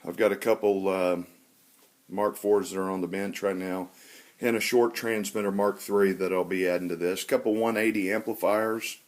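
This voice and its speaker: Guy with a lowish voice showing off his collection, kinda halting, matter of fact. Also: level tone. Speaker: hobbyist radio collector. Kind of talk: informal show-and-tell tour narration.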